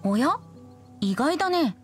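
A woman's voice: sits high, sing-song, unhurried. A woman voicing a youthful boy bard in an anime game, playful and easygoing. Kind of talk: Japanese anime game voice acting. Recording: music playing behind the voice.

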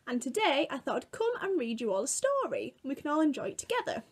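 A British woman in her twenties telling a picture-book story to young children in an expressive, exaggerated way, recorded indoors at home. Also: sits high, quick pace. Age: 20s. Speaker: children's museum worker reading a story to children. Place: small room at home, indoors.

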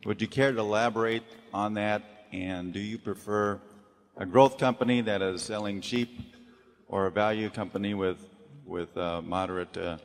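A man's voice: fairly low pitch, fairly even intonation, moderate pace. A man asks a question from an audience in hesitant, broken phrases. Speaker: audience member asking a question. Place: audience floor of a large hall. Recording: distant reverberant hall PA.

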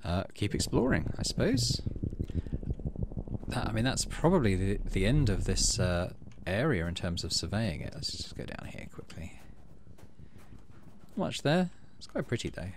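A man hosting a gaming channel, low-key, casually commenting on play and reading story text aloud. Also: big pitch swings, normal speed, vocal fry, slight background noise.

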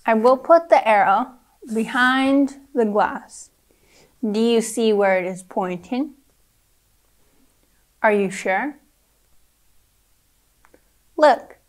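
A young woman narrates an educational script for children. Her voice sits fairly high and she goes very slowly, pronouncing each phrase carefully and leaving pauses between them. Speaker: presenter of a children's science lesson.

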